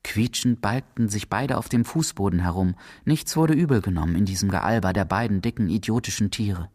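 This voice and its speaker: A man's voice: lowish voice, fairly quick, a little mellow. A man reading scripted literary narration as the narrator of a radio drama.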